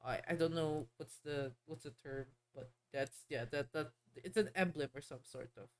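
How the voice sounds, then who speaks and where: A woman's voice: low voice, moderate pace, darkish tone. A woman streamer chatting casually while playing a game, rambling and hesitant as she explains things. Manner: rambling, hesitant, many fillers and restarts.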